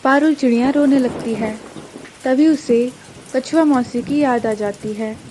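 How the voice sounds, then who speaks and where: A woman narrates a cartoon story in a high, mellow voice. Her delivery is slow and scripted, and there is clear rain noise behind her.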